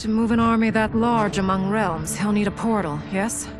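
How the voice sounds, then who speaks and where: An actress playing a composed, shrewd young warrior princess with a brisk, questioning manner. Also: female voice, medium pitch, music in the background, lightly acted.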